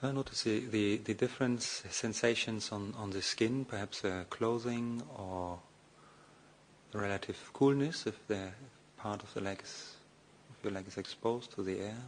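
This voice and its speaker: Male, meditation guide, fairly low pitch, halting step-by-step instruction with generous pauses, slight background noise. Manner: slow, hesitant delivery with long pauses. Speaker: meditation teacher, Buddhist monk.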